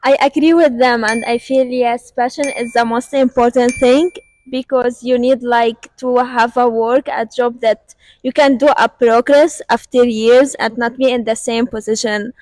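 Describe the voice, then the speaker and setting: A woman's voice: fairly high pitch, steady tone, unhurried, a little mellow, a little hiss or hum, poor audio. A woman from Lebanon, a guest in a casual discussion, speaking in short, simple phrases.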